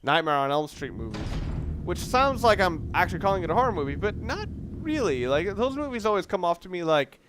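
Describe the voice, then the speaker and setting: A man's voice: high voice, sing-song, fairly quick, background noise. A man doing casual, unscripted game commentary, thinking aloud in a rambling way and often correcting himself. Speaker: let's player.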